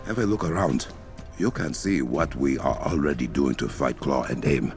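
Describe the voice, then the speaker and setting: An actor playing a dignified warrior king in a video game, with a deep gravelly voice, an African accent and a measured, formal, commanding manner. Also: soft background music. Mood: calm authority. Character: dignified warrior king and masked protector of his nation. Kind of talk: video game cinematic acting.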